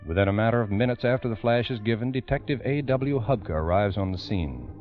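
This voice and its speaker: A man narrating a true-crime radio drama in the 1940s style, grave, formal and deliberate. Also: on the low side, lively intonation, close to an everyday voice. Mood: grave, measured. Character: grave male narrator of a police case. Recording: muffled vintage radio recording, music behind.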